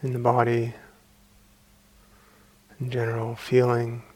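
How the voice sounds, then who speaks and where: A man guiding a meditation as a teacher, speaking calmly and unhurriedly in short phrases with long pauses. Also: medium pitch, breathy edge. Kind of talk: guided meditation.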